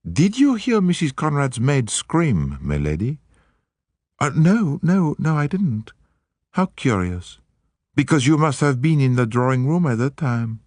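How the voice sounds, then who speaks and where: A man's voice: medium pitch, sing-song, a little slow, a little mellow, no echo, studio quality, voice pushed up. A man voicing a nervous aristocratic lady who stammers and sounds breathless.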